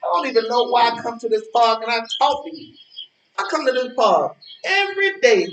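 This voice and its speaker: Stage elder, male, acted: high voice, indignant moralizer who repeats himself; echoey recording with birdsong. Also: animated, a bit bright. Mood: exasperated. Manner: moralizing, halting, repeating words for emphasis. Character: preachy, indignant old man, lonely park-bench regular. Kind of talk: stage play dialogue. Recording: echoey, distant-sounding, noisy ambience with birdsong.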